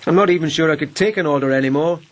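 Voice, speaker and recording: An actor playing a plainspoken, brooding police lieutenant of humble birth in a radio drama. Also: male voice, a little high, rapid-fire, lightly acted. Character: rough-born police lieutenant, brooding and wry.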